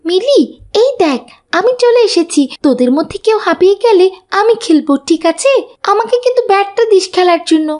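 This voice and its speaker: A woman's voice: extremely high pitch, lively intonation, normal speed, darkish tone. A woman voicing a studious, dutiful little schoolgirl in a children's cartoon, earnest and pleading. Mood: polite. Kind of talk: scripted cartoon dubbing.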